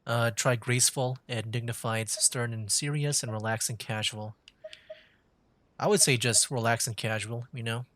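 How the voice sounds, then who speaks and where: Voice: mid-range voice, fairly even intonation, normal speed, fairly bright tone, no room sound. A streamer chatting casually over a game and reading dialogue options aloud, laid-back and conversational. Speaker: streamer, VTuber playing a game. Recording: game music under the voice. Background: game background music.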